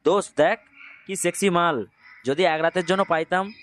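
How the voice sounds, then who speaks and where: A man's voice: high voice, moderate pace, fairly bright tone. A man playing a crude, menacing young villain in an audio love story. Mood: leering.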